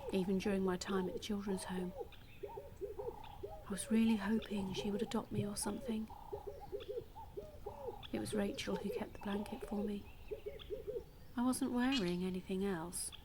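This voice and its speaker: An actress playing a damaged, bitter woman in an audio play monologue, confiding and quietly menacing, often dropping close to a whisper. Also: mid-range voice, close to an everyday voice. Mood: wistful, wry.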